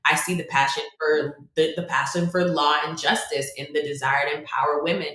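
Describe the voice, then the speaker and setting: Upbeat female podcast host, slightly low voice, roomy recording. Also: steady tone, fairly quick, fairly bright tone. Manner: enthusiastic, upbeat. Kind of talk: podcast interview. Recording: roomy echo.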